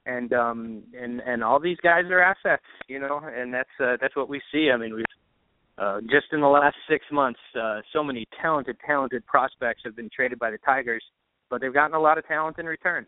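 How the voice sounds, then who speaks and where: A man speaking as a baseball announcer guest in a casual sports interview, thinking aloud haltingly and repeating words. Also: mid-range voice. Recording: narrow phone-like line.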